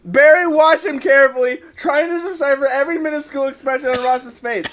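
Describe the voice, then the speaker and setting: A man's voice: very high-pitched, brisk, fairly bright tone, a little hiss or hum. A man reading fan fiction aloud on a group livestream, breaking into banter and exclamations while his mouth burns from hot sauce. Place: group livestream with others reacting.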